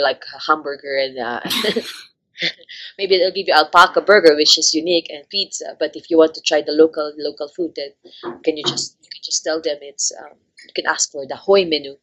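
Low-voiced chatty woman guest. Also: moderate pace, a bit bright. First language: not English. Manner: chatty, laughs often, many fillers. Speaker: travel blogger guest. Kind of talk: casual interview chat.

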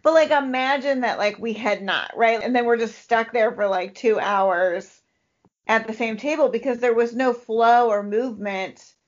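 A woman's voice: fairly high pitch, normal speed. A woman co-hosting a podcast, telling stories in a casual, chatty way with "like" sprinkled all through. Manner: chatty, uses "like" constantly. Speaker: podcast co-host. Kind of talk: casual conversational storytelling. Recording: dull lo-fi podcast audio.

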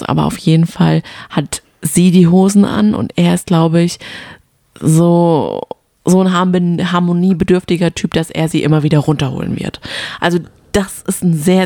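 Mellow woman with a creaky voice. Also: low-pitched, no echo, studio quality. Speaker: podcast co-host. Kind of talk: casual chat about reality TV.

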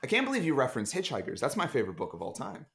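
A man as a podcast guest in casual chat, rambling with dark deadpan jokes over a video call. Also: medium pitch, very fast, creaky.

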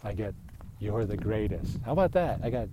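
A man's voice: fairly low pitch, expressive, normal speed, noisy background. A man in his fifties talking casually on a solo podcast, rambling and self-deprecating, often tossing in "right?". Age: 50s. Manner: chatty, self-deprecating, frequent "right?" asides.

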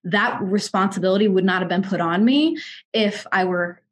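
A woman's voice: mid-range voice, moderate pace. A twenty-year-old woman from Kansas City, a music artist and executive, telling her story in an interview with earnest, articulate emphasis. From Kansas City, United States. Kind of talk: candid conversational storytelling.

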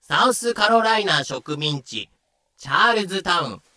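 A man's voice: sits high, very animated, moderate pace, bright, forceful tone, dry recording, clean studio sound. A man giving scripted, dramatic narration for an audio drama.

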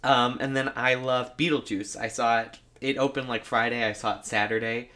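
A man co-hosting a podcast who chats in a relaxed, agreeable way with lots of fillers. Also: medium pitch, moderate pace. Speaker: podcast co-host. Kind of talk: casual chat.

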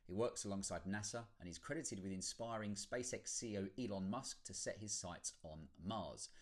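The fairly deep-voiced bloke who opens a weekly podcast by joking at his own expense before the serious guest comes on. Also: normal speed. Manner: tongue-in-cheek, self-deprecating. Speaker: podcast host. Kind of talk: casual scripted podcast introduction.

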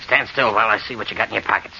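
An actor playing a rough, menacing stick-up man who talks in slangy tough-guy style in an old radio drama. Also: lowish voice, fairly even intonation, very fast. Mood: threatening. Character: rough male street stick-up thug with a gun. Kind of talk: 1940s radio drama acting. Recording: noisy, muffled old radio recording.

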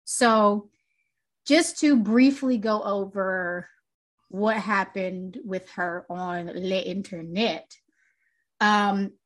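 A young woman co-hosting a music podcast, her talk loose and casual, sprinkled with swearing and laughter. She calls her own voice pitchy and a little grating. The sound is close and intimate, right up on a desk microphone. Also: extremely slow. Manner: chatty, loose and profane, laughs often. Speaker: podcast co-host. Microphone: close desk mic.

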